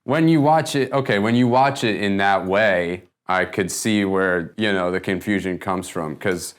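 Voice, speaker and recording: A man with a slightly low voice plays it straight as an interviewed animation writer. He is deadpan and evasive, often sounding muddled as he improvises his answers in a comedy interview. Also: moderate pace, clean tone.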